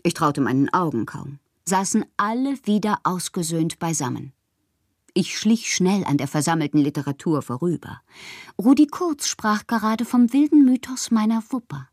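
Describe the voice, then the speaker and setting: A woman playing a moody, fanciful bohemian poet in a radio drama, reading her confessional letters aloud with expressive, theatrical, poetic phrasing. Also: on the low side, normal speed, no echo, studio quality. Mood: excited, indignant.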